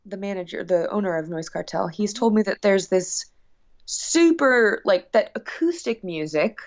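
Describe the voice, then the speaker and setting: A woman's voice: mid-range voice, lively intonation, moderate pace. Woman musician chatting, slightly dull audio. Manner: long-winded, rambling and earnest. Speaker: guest musician. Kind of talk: casual interview chat.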